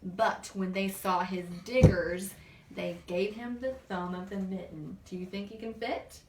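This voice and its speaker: Woman storybook reader, expressive and conversational, unrushed pace, echoey room with faint noise. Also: medium pitch, a little slow. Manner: expressive, asks the listening child questions. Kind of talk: children's picture-book read-aloud with chatty asides. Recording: roomy, distant microphone, background noise.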